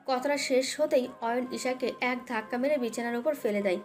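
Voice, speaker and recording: A woman's voice: on the high side, brisk, faint music behind. A woman narrating a scripted dramatic love story as its storyteller.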